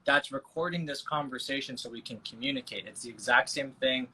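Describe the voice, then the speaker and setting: A man's voice: medium pitch, a little fast, pushed and bright, breathy edge, poor audio. Loose-talking man cursing freely. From United States. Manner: loose, swears often, says "bro". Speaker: guest. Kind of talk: casual interview chat. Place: home over video call. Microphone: phone on a video call.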